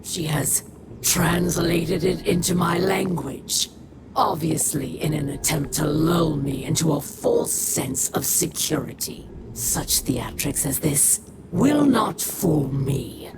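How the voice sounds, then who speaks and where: A woman's voice: low voice, slow pace, heavy background noise. An actress playing a proud, bitter female alien warrior held captive in a video game, haughty and disdainful in every phrase. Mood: scornful. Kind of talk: video game character acting.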